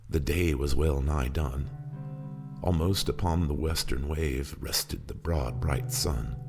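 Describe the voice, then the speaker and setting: Very deep, gravelly man reciting dramatic verse. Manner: theatrical, brooding, emphatic, with whispers and cries. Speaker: poetry reader and performer. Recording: music under the voice. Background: guitar music.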